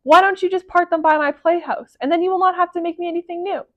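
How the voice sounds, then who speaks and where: A woman's voice: extremely high pitch, steady tone, quick pace, pitched above the performer's own voice. A woman voicing an eager, bossy young farm girl from a storybook, with an emphatic, demanding manner. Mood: persuasive, demanding. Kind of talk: storybook character voice.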